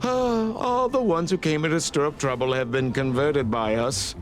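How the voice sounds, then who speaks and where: An actor playing a pompous, excitable little dessert creature in a video game, speaking grandly and with devotion. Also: male voice, on the high side, moderate pace, a little hiss or hum, close to an everyday voice. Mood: proud, boastful. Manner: pompous, speaks of itself in third person. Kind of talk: video game character acting.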